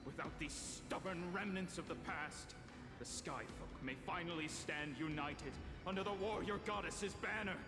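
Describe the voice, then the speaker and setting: Fervent zealot in a game, highish voice, big echo. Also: male voice, normal speed. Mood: indignant, fervent. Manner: formal, fervent. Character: indignant, zealous male devotee pleading with royalty. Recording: echoey hall reverb over music.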